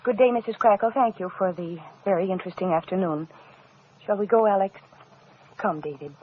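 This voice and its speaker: An actress playing a poised, sharp-tongued society wife in an old-time radio drama, with polished theatrical delivery. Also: female voice, mid-range voice, a little fast, a little hiss or hum, natural voice. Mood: cool, irritated. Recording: tinny old radio recording.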